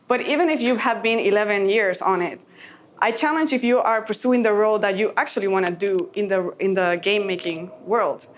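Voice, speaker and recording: A woman's voice: mid-range voice, brisk, a little hiss or hum. A woman from Puerto Rico giving an earnest, encouraging talk to a conference audience. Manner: earnest, encouraging, a little emotional. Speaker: conference speaker.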